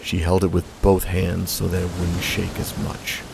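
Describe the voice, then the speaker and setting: A man narrating a story in measured, dramatic tones. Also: deep voice, completely flat delivery, creaky, noisy background. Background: music.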